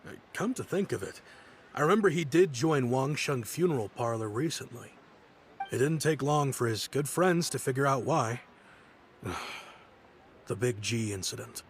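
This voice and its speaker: A man's voice: medium pitch, animated, normal speed, close to an everyday voice. A young male merchant from a video game, acted by a man, proud with a sentimental side. His mid-range voice is conversational and self-assured, over faint background noise. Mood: reflective. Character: proud, sentimental young businessman with a touchy streak. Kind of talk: video game character acting.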